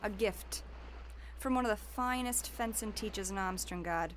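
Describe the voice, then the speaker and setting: An actress playing a cocky, combative, tipsy young thief in an audio drama. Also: female voice, mid-range voice, normal speed, close to an everyday voice. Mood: cocky, indignant. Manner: bragging, combative. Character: cocky, drunken young female thief swordswoman. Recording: faint background ambience noise.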